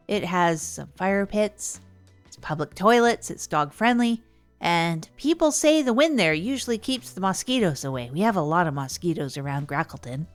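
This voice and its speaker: A woman playing an awkward radio advice host, chatting in a rambling, hesitant, conversational way in a comedy audio show. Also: lowish voice.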